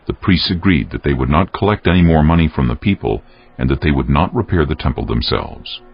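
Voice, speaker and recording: Solemn male narrator for dramatized scripture: rock-bottom pitch with expressive swings, measured storytelling delivery. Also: highs cut off.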